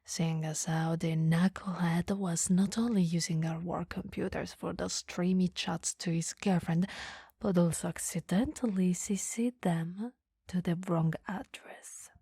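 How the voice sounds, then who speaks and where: A woman playing a coy, flustered female boss in a flirty ASMR roleplay, speaking in soft, breathy whispers with a teasing, hesitant manner. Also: fairly low pitch, steady tone, a little slow, no echo, studio quality. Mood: gossipy, confiding.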